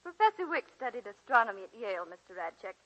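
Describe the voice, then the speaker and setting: A woman playing a proper, kindly young schoolteacher with an expressive, slightly prim delivery, in a vintage 1940s radio drama. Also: mid-range voice, quick pace, poor audio.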